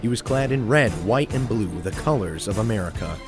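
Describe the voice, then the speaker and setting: A man's voice: fairly low pitch, expressive, brisk, over music, natural voice. A man narrating a scripted adventure story in a theatrical storytelling manner.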